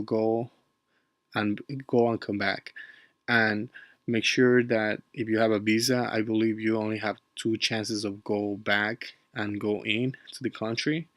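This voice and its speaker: Laid-back Ecuadorian-accented man, low and flat. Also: no room sound. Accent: Ecuadorian Spanish. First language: Spanish. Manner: chatty, loose, many fillers, drops Spanish words. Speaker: travel vlogger. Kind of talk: casual travel vlog narration.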